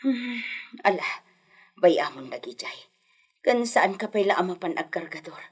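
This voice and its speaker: A Filipino-accented woman playing a worried, protective mother in a radio comedy-drama, coaxing and fretting in a husky voice. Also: lowish voice, animated, a little slow. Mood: concerned, resolute.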